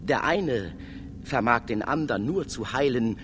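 An actor playing a mysterious, learned philosopher who lectures in a declamatory way in a radio drama. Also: male voice, mid-range voice, expressive, unhurried, fairly bright tone, slight background noise. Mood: solemn, oracular. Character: mysterious philosopher double, male, learned and oracular.

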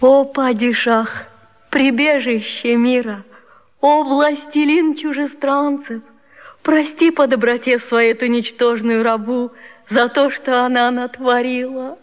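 Sly, flattering wife for radio comedy: high voice, leisurely, echoing hall. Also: female voice, takes it slowly. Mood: humble pleading. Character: clever, obliging young wife, flattering and sly. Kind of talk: radio vaudeville acting.

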